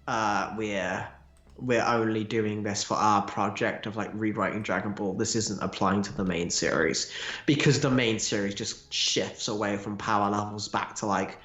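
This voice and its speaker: A man's voice: on the low side, fairly bright tone. A man chatting casually about fandom, opinionated and emphatic, with some salty language. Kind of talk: casual fan discussion. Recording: roomy, echoey sound.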